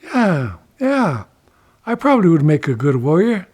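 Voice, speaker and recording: A man's voice: on the high side, sing-song, a little slow, a little mellow, voice pushed up. A man voicing a doubtful, hesitant turtle in a told folk story. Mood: coming round. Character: doubtful turtle recruit, male, won over by flattery.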